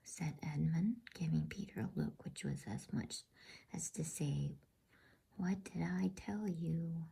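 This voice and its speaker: A woman whispering softly and soothingly in an unhurried ASMR style, as the host of a bedtime reading. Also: sits low, sing-song. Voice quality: breathy whisper.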